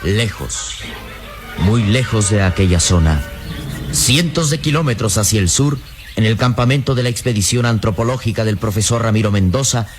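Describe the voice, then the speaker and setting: A man narrating a radio adventure serial in a solemn, declamatory, suspenseful style. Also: on the low side. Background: faint music.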